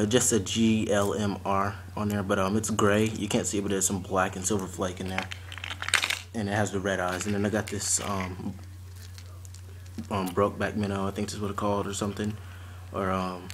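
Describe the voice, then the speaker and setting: A young man in his late teens chatting casually and haltingly as he presents items to a camera at home. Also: on the low side. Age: teens to young adult. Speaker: vlogger showing fishing tackle. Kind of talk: casual unscripted unboxing chat. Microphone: camera or phone mic at arm's length. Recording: noisy amateur recording with clicks and rustling.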